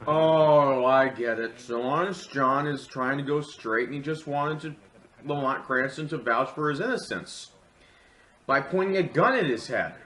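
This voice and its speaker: A man's voice: medium pitch, a little slow, a bit bright. A man giving a comedic film review with sarcastic, theatrical delivery. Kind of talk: comedic scripted review commentary. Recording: echoey room.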